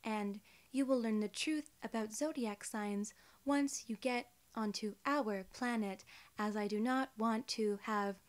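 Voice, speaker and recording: A young woman playing a polite, clinical alien inspector in an ASMR role-play, soft-spoken, formal and soothing. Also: fairly high pitch, measured pace, natural voice. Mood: formal, calm. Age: young adult. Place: small indoor room, seated.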